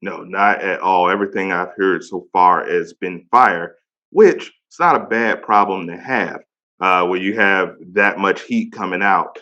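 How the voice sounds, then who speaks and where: A man in his thirties with a deep voice, hosting a casual interview and praising his guest with slang. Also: a little slow, pushed and bright, lo-fi sound. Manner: enthusiastic, slang-heavy praise. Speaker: podcast host.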